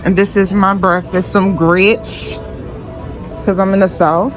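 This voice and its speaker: A woman's voice: medium pitch, little pitch movement, takes it slowly, over music, low-quality recording. A woman giving casual travel vlog commentary in a blunt, slangy, profane way. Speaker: travel vlogger and podcast host.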